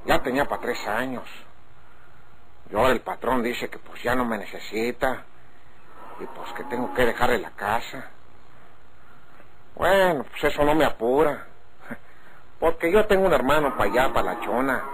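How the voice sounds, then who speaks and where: A man playing an easygoing, chatty sharecropper with a rural northern Mexican accent and a gravelly voice, in a radio drama. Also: mid-range voice, normal speed, long pauses, fairly bright tone, close to an everyday voice, low-quality recording. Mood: folksy explaining. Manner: folksy, rambling. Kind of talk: old-time radio drama acting. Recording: faint noise, cattle, old radio serial.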